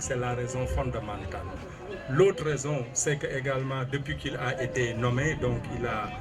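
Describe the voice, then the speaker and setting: A Senegalese man in his sixties giving a formal press statement, measured and hesitant with many fillers. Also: mid-range voice. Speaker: retired civil administrator and local politician. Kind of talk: press statement answering an interviewer.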